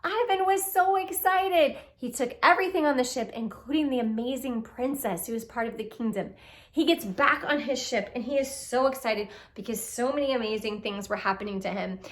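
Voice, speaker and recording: A woman telling a children's puppet story in an expressive way, speaking directly to young listeners with questions and exclamations. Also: a little high, fairly quick. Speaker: storyteller, puppet show reader.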